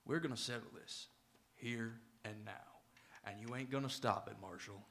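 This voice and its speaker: A man's voice: medium pitch, moderate pace, fairly bright tone, slight background noise, natural voice. Man playing a menacing, hot-tempered frontier rancher. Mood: angry, threatening. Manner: gruff, menacing, rural Western talk. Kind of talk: live radio Western acting. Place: auditorium stage, live radio theatre performance.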